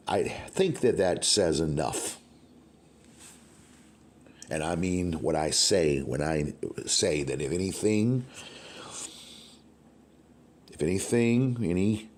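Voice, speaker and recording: A man with a deep voice addresses an audience in a threatening way. He speaks emphatically and circles back to repeat his warnings. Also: a little mellow.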